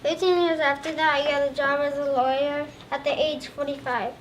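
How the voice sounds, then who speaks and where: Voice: high-pitched, flat delivery, moderate pace. A child of about eight or nine reciting a first-person school presentation as a historical figure. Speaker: third grade student presenter. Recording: distant mic, loud room noise.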